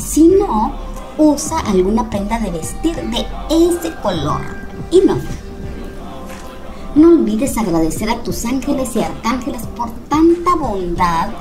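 A woman giving a reassuring tarot reading to her viewers, speaking gently and fondly to them. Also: a little high. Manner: gentle, reassuring, calls viewers "mis cuervitos". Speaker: tarot reader. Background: background music.

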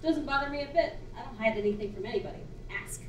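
A young woman on a small theater stage playing a blunt, tough-talking woman who is proud and unbothered, with a curt, deadpan delivery. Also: sits high, quick pace, a little hiss or hum, natural voice. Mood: defiant. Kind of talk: live stage acting. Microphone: far room mic. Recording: roomy theater sound from far off.

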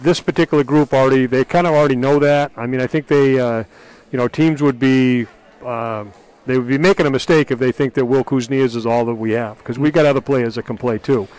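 Middle-aged man, Maine accent, basketball coach, postgame, unhurried and full of fillers. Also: mid-range voice, steady tone, darkish tone, smooth, rough recording. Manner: measured, thoughtful, many fillers. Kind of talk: postgame interview. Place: school gym, indoors. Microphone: headset mic.

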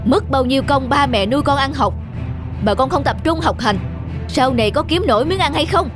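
A stern mother in a cartoon drama, with a fairly high voice. She is worried and reproachful, nagging as she speaks. Music plays clearly behind her. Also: lively intonation, a little fast. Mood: scolding. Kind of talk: animated drama dialogue.

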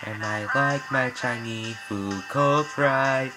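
A young man in his late teens sings along at an unhurried pace to a comic song, chanting its repeated lines in a casual, amateur way. He records himself on a laptop webcam in a small bedroom. A loud backing track plays under his voice. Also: mid-range voice. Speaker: amateur singer. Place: small bedroom with bright yellow walls. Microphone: laptop webcam at close range. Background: loud backing music.

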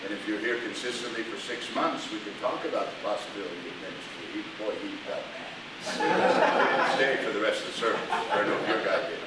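A pastor speaking plainly and emphatically to a men's meeting in a church meeting room. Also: male voice, on the low side, a little fast. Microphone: far camera. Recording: echoey room from far off with hiss.

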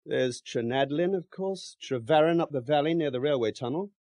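An actor playing an unhurried, discouraging local country man in a radio drama, matter-of-fact and dry in manner. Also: on the high side, a little mellow, dry recording, lightly acted. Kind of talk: 1970s radio drama acting.

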